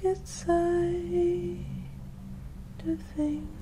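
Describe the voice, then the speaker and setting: A woman ASMR performer singing softly and sleepily in a breathy, gentle voice. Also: extremely high pitch, flat delivery, drawn-out words, noisy background. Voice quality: soft, breathy.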